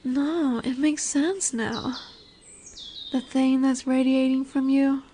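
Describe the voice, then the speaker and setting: A woman voicing a dominant lamia in a roleplay audio, curious and condescending yet nurturing, speaking deliberately with teasing, soothing near-whispers. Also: sits high, steady tone, warm tone, slight background noise. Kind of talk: slow roleplay audio monologue addressed to the listener. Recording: birdsong and woodland ambience behind. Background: birdsong, forest ambience.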